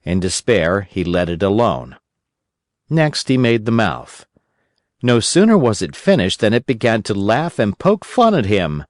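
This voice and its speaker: A man reading a children's story as an audiobook narrator, in a gentle, expressive storytelling manner. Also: lowish voice, measured pace, no echo, clean studio sound.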